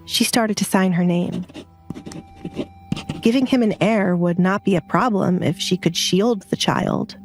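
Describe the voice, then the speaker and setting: A woman's voice: mid-range voice, unhurried, faint music behind. A woman reading scripted, dramatic story narration as an audiobook narrator.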